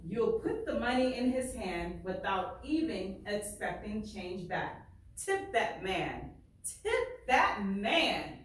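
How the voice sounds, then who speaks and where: Slow-paced woman teacher in an echoey room. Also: mid-range voice, sing-song. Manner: deliberate, repeats phrases and questions for emphasis. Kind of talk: read-aloud and class questioning. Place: indoor classroom. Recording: echoey room, far from mic, faint noise.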